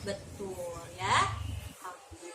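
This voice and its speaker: A woman's voice: lowish voice, steady tone, extremely slow, recorded from a distance. A young woman teacher reading a story aloud in a classroom, then quizzing a child with coaxing questions and half-finished words for the child to complete. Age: young adult. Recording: echoey room, voice from off-mic, clear noise.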